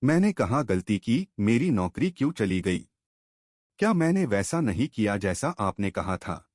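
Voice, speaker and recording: A man's voice: fairly low pitch, sing-song, a little slow, darkish tone, no room sound, clean studio sound. A man voicing an earnest young factory worker, dejected and puzzled, speaking in a plaintive, subdued way in a moral story.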